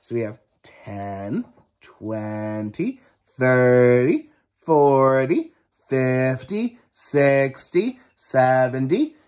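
A young man in an animated read-aloud counting lesson for small children, full of exaggerated wonder and questions. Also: mid-range voice, very slow, smooth. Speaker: teacher reading a children's book aloud. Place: small room at home, indoors.

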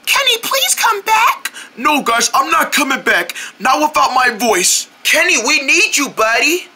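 High lively voice doing goat puppets. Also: female voice, measured pace. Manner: voices several goat characters, shouting and fake crying. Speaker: home puppet show creator voicing paper goat characters. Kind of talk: homemade paper puppet cartoon voicing. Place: outdoors on grass at night.

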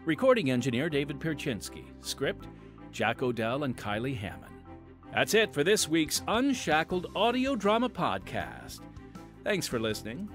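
An American man hosting a devotional podcast in scripted narration, with measured, emphatic, dramatic phrasing. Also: on the high side, big pitch swings, faint music behind. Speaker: podcast host and preacher.

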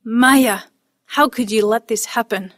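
A woman's voice: a little high, fairly even intonation, normal speed, no echo, clean studio sound, natural voice. A woman voicing a tired, tender and worried immigrant mother. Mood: pleading.

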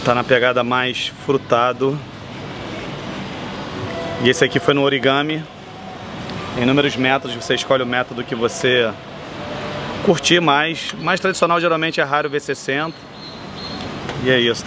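A man's voice: medium pitch, normal speed, long pauses. A man in his thirties with a Brazilian accent, enthusiastically chatting through a travel vlog, filmed on a handheld camera at arm's length outdoors. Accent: Brazilian Portuguese. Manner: enthusiastic, chatty, lists things off. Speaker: travel vlogger. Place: city sidewalk and café terrace outdoors. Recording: street noise behind.

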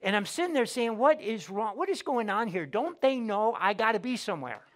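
Guy preaching a sunday sermon, fairly high voice, gets loud then drops way down. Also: sing-song, fairly quick. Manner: emphatic preaching, rising and falling in volume, repeats words. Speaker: pastor. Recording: audio of a church sermon.

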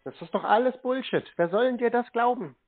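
A man's voice: very high voice, brisk, vocal fry, a crowd in the background. A man arguing informally on a call, blunt, dismissive and repeating himself. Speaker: call recipient challenging phone scammers. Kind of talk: informal argumentative phone talk. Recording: noisy narrow phone-like sound.

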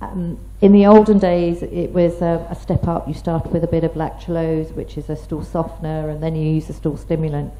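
A woman explaining treatment as a doctor on a medical panel, in a measured way. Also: low voice, little pitch movement, warm tone, slight background noise. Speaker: paediatrician panelist. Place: conference hall, seated at panel table. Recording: dull conference microphone recording.

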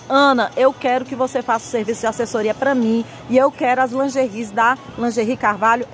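A woman with a Northeastern Brazilian accent presenting a shopping vlog in an enthusiastic, chatty sales style, addressing viewers as friends. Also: a little high. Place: indoor market lingerie stall.

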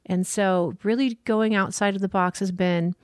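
A woman's voice: medium pitch, fairly even intonation, moderate pace, no room sound, studio quality. Passionate woman surf school founder. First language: French. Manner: earnest, passionate, laughs easily. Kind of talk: casual interview talk.

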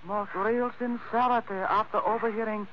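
An actor playing a fawning comic schemer in a 1940s radio drama, speaking flowery, stilted broken English obsequiously. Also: male voice, sits high, steady tone, moderate pace, lightly acted. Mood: flattering. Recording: dull, noisy 1940s radio recording.

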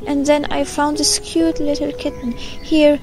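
A woman playing an earnest, excitable little girl in a children's audio story, full of questions. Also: high voice, a little slow. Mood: sad, earnest. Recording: clear background noise with birdsong. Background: birdsong.

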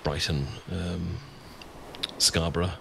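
A man acts out an adorkable young British friend with a crush in an ASMR roleplay. His voice sits a little low, and he rambles bashfully, stammering and hesitating as he goes. Ambient noise is audible behind him. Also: level tone, takes it slowly, full character voice. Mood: relaxed, chatty. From Britain. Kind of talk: ASMR boyfriend roleplay, improvised-sounding casual chat. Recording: clear background noise with ambience.

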